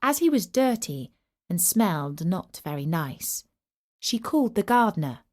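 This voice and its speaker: Unhurried, expressive woman storyteller. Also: on the low side. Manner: expressive, measured, deliberate phrasing. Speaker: storyteller, narrator. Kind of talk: scripted children's storytelling narration of a Greek myth.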